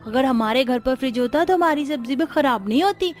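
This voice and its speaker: A woman's voice: sits high, lively intonation, fairly quick, soft background music. A woman voicing a stubborn, whiny young boy in a cartoon story, pleading in a childish way. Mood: eager. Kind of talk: Hindi moral story cartoon dubbing.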